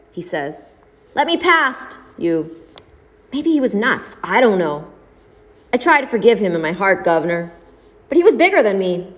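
A woman's voice: mid-range voice, natural voice. A woman voicing a bitter, whining blind male peddler who tells his story in a pleading, dramatic way. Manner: pleading, self-pitying, rising to shouts.

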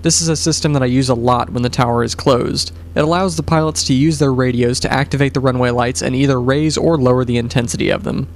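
Male pilot narrator, quick explanatory voice-over, mid pitch, faint hum behind.

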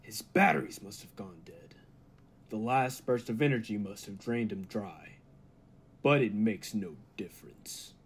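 Man acting a slow, menacing robot mastermind. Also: fairly low pitch, slightly rough. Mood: cold, calculating. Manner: deliberate, menacing, grandiose. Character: cold, megalomaniac humanlike male robot bent on world mastery. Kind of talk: old-time radio drama acting.

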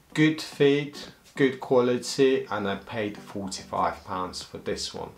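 Man giving practical home-gym tips. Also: medium pitch. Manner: plain, practical recommendations with non-native grammar. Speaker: fitness vlogger. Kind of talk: casual instructional talk to camera. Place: home room and garden.

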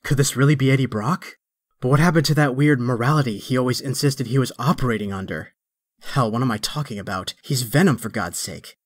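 An actor playing a young, wisecracking but stressed superhero in a comic dub, with a sardonic, conversational delivery. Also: male voice, medium pitch, brisk, no echo, clean studio sound, close to an everyday voice. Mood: anxious brooding. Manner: sardonic, conversational inner monologue. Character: young male superhero, wisecracking yet stressed and self-doubting. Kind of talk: dramatic comic dub acting.

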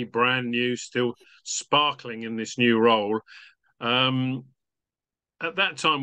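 A man's voice: fairly low pitch, pushed and bright, no echo. A man in his sixties hosting an interview over a video call from his study, genial and chatty, asking long rambling questions. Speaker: interview host. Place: home study with bookshelves. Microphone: webcam video call.

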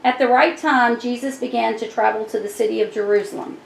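A woman's voice: fairly high pitch, steady tone, a little fast, vocal fry. A middle-aged woman hosting a children's puppet show, telling Bible stories in a simple, explanatory way. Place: small decorated room indoors. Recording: echoey room.

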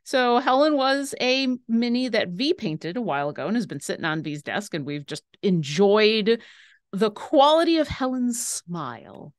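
A woman's voice: medium pitch, expressive, moderate pace, no room sound, studio quality. A young woman co-hosting a miniature-painting livestream, joking around and reading viewer questions aloud from home. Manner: playful, jokey, reads viewer questions aloud. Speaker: co-host learning to paint miniatures. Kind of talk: casual livestream chat. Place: indoor home room.

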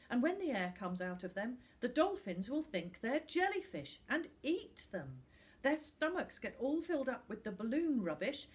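A woman's voice: high voice, pitched above the performer's own voice. A woman voicing an earnest young boy, pleading insistently in an audiobook story. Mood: frantic. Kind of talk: audiobook character acting.

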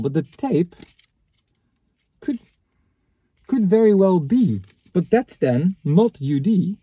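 A man in his thirties with a mellow, somewhat husky voice talks casually inside a car. He sounds matter-of-fact and halting, thinking aloud as he comments on things in his hands. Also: a little high, no echo. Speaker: vlogger reviewing secondhand reel-to-reel tapes. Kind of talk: casual unscripted chat, commenting on items as he handles them.

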